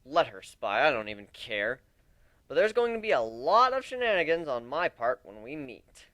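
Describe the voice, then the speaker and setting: A man's voice: mid-range voice, big pitch swings, moderate pace, fairly bright tone, no echo. A man voicing a hot-headed, sulky young griffin in a dramatic story reading, sarcastic and informal. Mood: indignant, sulky. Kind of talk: dramatic reading of fan fiction.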